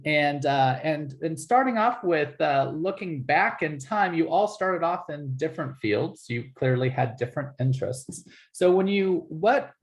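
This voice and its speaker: A man's voice: fairly high pitch, vocal fry, a little mellow. A man in his forties moderating a video panel, asking questions in a friendly, hesitant way. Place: home living room. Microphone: video call.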